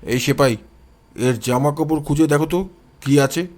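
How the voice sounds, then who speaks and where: A man voicing a brisk male police officer in an audio story, giving orders in a curt, authoritative way. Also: on the high side, darkish tone, pitched below the performer's own voice, lightly acted. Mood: commanding.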